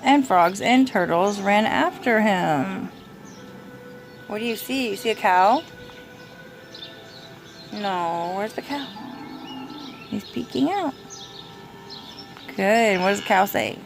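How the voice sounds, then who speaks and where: Young woman, creaky voice, storybook read-aloud, motherly, gentle prompting of a child, light background music. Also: mid-range voice, animated, slow, pauses often. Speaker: mother reading to her toddler.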